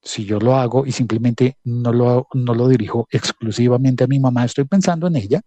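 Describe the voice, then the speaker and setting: Male former broadcaster, husky and dry-sounding, reflective and hesitant. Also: medium pitch, a little fast, dark tone, no echo. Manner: hesitant, self-aware, storytelling. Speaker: panel guest, former broadcaster. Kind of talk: reflective panel talk.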